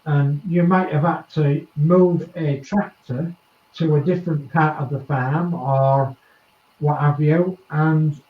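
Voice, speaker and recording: A man's voice: a little high, fairly even intonation, takes it slowly, roomy sound, phone-quality sound. A man from East Yorkshire with a Yorkshire accent, hosting a casual group chat and rambling, with small chuckles between thoughts. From East Yorkshire, England. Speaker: host of a model-building chat.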